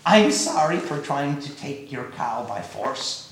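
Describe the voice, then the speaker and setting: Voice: mid-range voice, big pitch swings, unhurried, a little hiss or hum, pitched below the performer's own voice. A storyteller voicing a proud male emperor who makes insistent, commanding demands, in a very echoey room. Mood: humbled.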